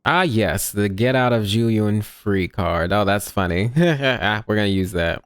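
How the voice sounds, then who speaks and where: Female let's play commentator, strikingly low and resonant, wry and offhand. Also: measured pace, clean tone. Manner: sardonic, wry asides. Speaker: gamer commenting on a playthrough.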